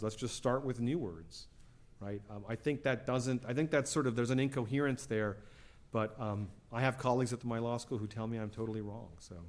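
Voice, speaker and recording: A middle-aged man, a law professor, answering audience questions after a lecture in a casual, conversational way, often adding "right?" and "you know". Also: mid-range voice. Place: lecture hall.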